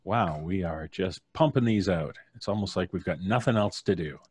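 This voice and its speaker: A man's voice: sits low, moderate pace, darkish tone, husky. A man with a North American accent hosting a casual live stream, jovial and playfully flowery in his introductions. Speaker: host and showrunner of a storytelling podcast. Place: at home, on a live video stream.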